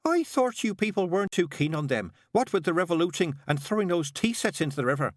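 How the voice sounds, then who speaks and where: A male audiobook reader voicing a flustered, chatty woman who is a nun and nurse, talking in a gushing, hurried way. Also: sits high, big pitch swings, no echo, studio quality, pitched above the performer's own voice. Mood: nervous chatter.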